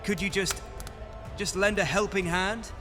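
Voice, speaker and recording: A man playing an anxious young person pleading haltingly for help in a fantasy audio roleplay. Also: high-pitched, steady tone, over music. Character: anxious, pleading young villager with ailing mother.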